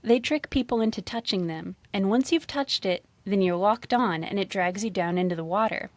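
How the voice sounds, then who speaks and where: A woman's voice: medium pitch, moderate pace, no room sound, lightly acted. Woman voicing an exasperated, emphatic male animal sidekick. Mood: insistent. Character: William, blunt impatient talking animal sidekick, male.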